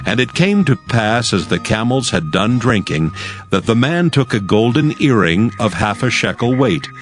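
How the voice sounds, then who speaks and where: A man's voice: fairly low pitch, very animated, normal speed, soft background music. A man narrating scripture for a dramatized audio Bible in a formal storytelling manner.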